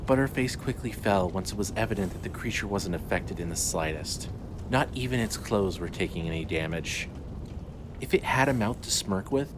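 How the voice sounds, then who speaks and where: Male horror narrator over noisy ambience. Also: lowish voice. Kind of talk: scripted horror story narration. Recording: clearly noisy ambient background.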